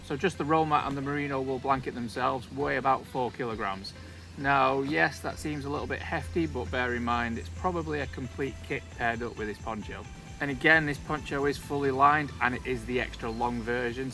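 A man, casually reviewing outdoor gear while sitting outdoors in a wood, talking to a camera on a tripod. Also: mid-range voice. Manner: chatty, relaxed, light joking asides. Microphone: camera on a tripod, also handheld selfie while walking.

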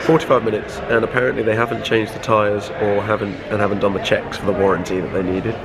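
A slightly hoarse young man, chatting upbeat as vlog host from a car, filmed at arm's length. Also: lowish voice, level tone, fast, over a noisy crowd. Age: young adult. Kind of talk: casual car vlog chat. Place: inside a car and a car dealership. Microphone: handheld camera at arm's length. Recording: noisy car and street sound. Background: engine and road noise.

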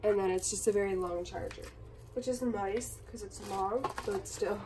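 A young woman, hoarse and raspy from being sick, chatting casually and apologetically while unboxing on a bedroom floor. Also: mid-range voice, moderate pace, mellow, recorded from a distance, slight background noise. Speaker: vlogger. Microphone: phone camera filming from above.

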